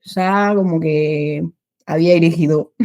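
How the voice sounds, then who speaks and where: A woman in her thirties from France, a French teacher who speaks Spanish with an Argentine accent in a casual chat, lively and laughing often. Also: sits low, no echo. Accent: Argentine Spanish with a French background. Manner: lively, laughs often, quick bursts of speech.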